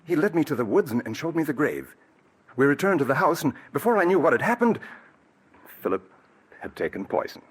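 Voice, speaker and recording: A man's voice: a little high, big pitch swings, a little fast, pauses often, husky. An actor playing a scheming male murderer in a 1940s radio drama, nervous and evasive. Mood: calm account. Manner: nervous, evasive, breaks into manic laughing. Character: scheming male murderer, smooth then cracking. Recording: old radio broadcast recording.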